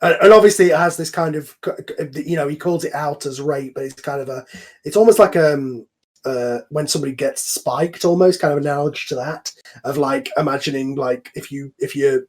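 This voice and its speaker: A man explaining his own series in a casual group chat, talking animatedly with stops and restarts as its creator. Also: mid-range voice. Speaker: series creator in a cast discussion. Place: voice chat call over the internet.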